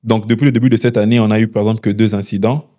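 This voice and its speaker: A man, a startup founder answering interview questions in a measured way with many hesitations. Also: on the low side, flat delivery, warm tone. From DR Congo. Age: 20s. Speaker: founder and CEO of a delivery startup.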